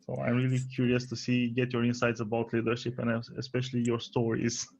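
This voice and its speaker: A man's voice: mid-range voice, monotone, fast talker, vocal fry, darkish tone. Man hosting a podcast interview. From Romania. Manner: friendly, laughs often, fillers. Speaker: podcast host. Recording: slightly dull lo-fi audio.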